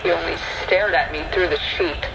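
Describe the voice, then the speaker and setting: A man's voice: on the high side, steady tone, normal speed, gravelly, very noisy. A man narrating a scripted first-person horror story. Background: rain and thunder ambience.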